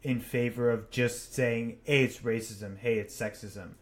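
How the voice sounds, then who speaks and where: A man's voice: mid-range voice, fairly even intonation, a little slow. A man who grew up partly in Japan, co-hosting a podcast and giving blunt, opinionated views in casual chat. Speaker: podcast co-host. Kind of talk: casual discussion.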